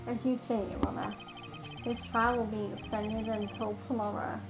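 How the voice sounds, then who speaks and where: A woman's voice: fairly high pitch, little pitch movement, normal speed, natural voice. A woman with an English accent reading the lines of a cool, haughty male prosecutor from a courtroom game aloud. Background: game music.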